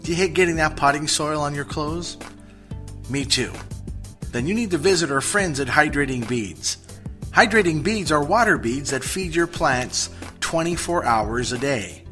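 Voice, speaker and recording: A man delivering a scripted radio commercial as an announcer, in a chummy, upbeat sales-pitch manner. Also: lively intonation, normal speed, pushed and bright, faint music behind. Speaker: advertisement announcer.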